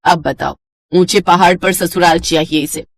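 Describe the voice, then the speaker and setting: A woman's voice: on the low side, steady tone, moderate pace, no room sound, close to an everyday voice. A woman acts out an exasperated middle-aged mother in a moral story cartoon. She chides in a practical, nagging way that stays fond. The sound is dry. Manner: chiding, nagging. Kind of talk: animated Hindi moral story dialogue.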